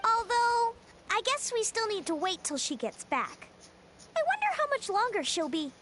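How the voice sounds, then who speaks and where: A woman's voice: very high voice, big pitch swings, normal speed, heavy background noise. A woman voicing a small, perky, reassuring childlike companion creature in a video game. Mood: surprised, wondering.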